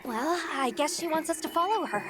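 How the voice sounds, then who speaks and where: Eager young female researcher: high, lively, explanatory game dialogue under loud music. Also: brisk, low-quality recording. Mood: curious.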